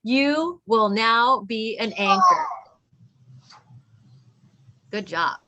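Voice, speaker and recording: Woman with highish voice reading storybook. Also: expressive, very slow, noisy background. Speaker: read-along co-reader. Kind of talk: children's picture book read aloud.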